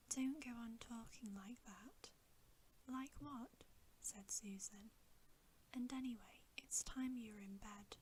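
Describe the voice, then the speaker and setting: A woman reading a bedtime story in ASMR style, in a hushed, gentle, unhurried whisper. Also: a little high, slight background noise. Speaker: ASMR reader.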